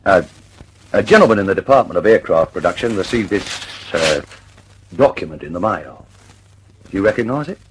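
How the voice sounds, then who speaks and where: An actor in an old radio drama playing a polite, reluctant plainclothes policeman, formal and halting. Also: low voice, expressive, slight background noise, rough recording. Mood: formal, apologetic.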